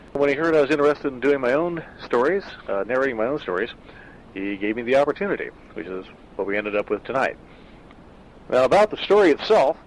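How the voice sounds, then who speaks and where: A man in his sixties, an author reading his own horror story and then chatting in a measured, self-deprecating way. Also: medium pitch, slight background noise, poor audio. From California. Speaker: author and narrator. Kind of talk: scripted horror narration and casual backstage talk.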